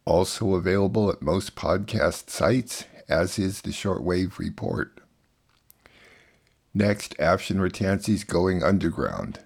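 A man's voice: low voice, lively intonation, slow, gravelly, breathy edge. A man hosting a radio show, reading scripted introductions and announcements.

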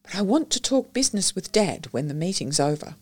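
A woman with an Australian accent voicing a wry, genial upper-class gentleman detective in an audiobook reading. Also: fairly low pitch, big pitch swings, brisk.

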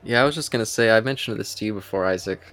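Man, lowish voice, for an informal podcast co-host role; relaxed-format conversation, unscripted. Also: racing. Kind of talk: casual chat.

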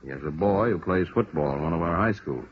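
An actor playing a businesslike American police lieutenant in a vintage radio drama, frank, firm, deliberate and emphatic. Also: male voice, low-pitched, expressive. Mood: musing, businesslike. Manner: deliberate, emphatic, matter-of-fact. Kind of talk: 1950s radio drama acting. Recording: muffled, hissy old radio recording.

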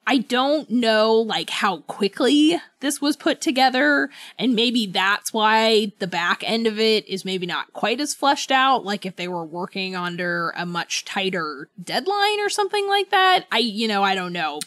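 A woman's voice: mid-range voice, lively intonation, measured pace, hardly any pauses, a bit bright, dry recording. A woman co-hosting a podcast, chatting informally and ramblingly, with lots of "like" and "you know". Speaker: podcast co-host. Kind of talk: casual book club chat.

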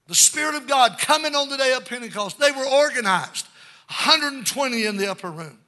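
An older male preacher with a high voice and a very sharp, forceful tone. He delivers a fervent Pentecostal sermon, exhorting the congregation and dropping frequent amens between his points. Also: lively intonation, fairly quick. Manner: exhorting, punctuated with frequent amens and calls for response.

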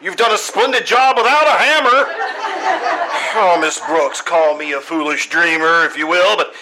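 A man playing a pompous, exasperated high school principal in an old-time radio comedy, speaking in elaborately formal, sarcastic sentences. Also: sharp tone, heavily acted, poor audio. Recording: live stage recording, background noise.

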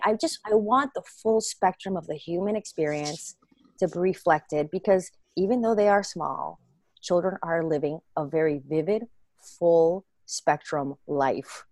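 A woman being interviewed about reading children's books online, talking in an earnest, expressive way and laughing easily. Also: lowish voice, dry recording. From United States. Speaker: guest, children's book reader. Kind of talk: casual interview answers.

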